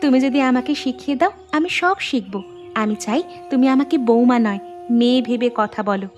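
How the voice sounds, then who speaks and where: মহিলার কণ্ঠে চড়া গলার লাজুক তরুণী বৌমা. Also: female voice, fairly high pitch, a little fast, darkish tone, natural voice. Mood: earnest, eager. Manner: earnest, apologetic, deferential. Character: young daughter-in-law, soft-hearted, shy, eager to please. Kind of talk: animated moral story dialogue. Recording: music behind the voice.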